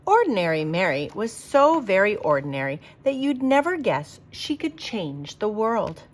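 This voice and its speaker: A woman reading a children's picture book aloud like a teacher, with expressive, emphatic delivery for young listeners. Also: medium pitch, normal speed.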